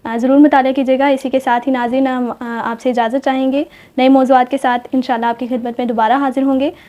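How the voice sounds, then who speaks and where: A woman with a Pakistani accent and a slightly high voice hosts formally and politely. Her intonation stays very even. The recording sounds a little dull and muffled. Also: fast talker. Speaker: talk show host. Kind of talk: formal polite programme hosting.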